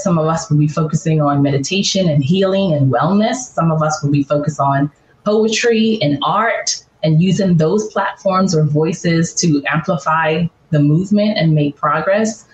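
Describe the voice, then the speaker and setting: A woman from the Bahamas, a podcast guest, speaking earnestly and building her points in repeated lists. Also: sits low. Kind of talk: reflective interview answers. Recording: roomy, dull remote audio.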